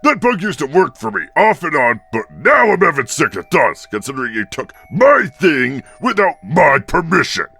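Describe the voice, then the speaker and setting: Scheming cartoon monster king: gravelly, forceful, theatrical menace. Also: fairly high pitch, very animated, moderate pace, few pauses, soft background music, exaggerated voice. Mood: grudging. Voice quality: gravelly, raspy. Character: gruff scheming turtle-monster king, cartoon game villain. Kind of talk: cartoon villain voice acting.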